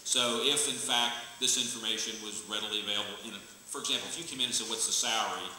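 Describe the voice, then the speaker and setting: A man serving as county attorney, explaining a law at a public meeting in a measured, careful way. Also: lowish voice, fairly even intonation, pushed and bright, a little hiss or hum. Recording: echoey meeting hall, faint clicks.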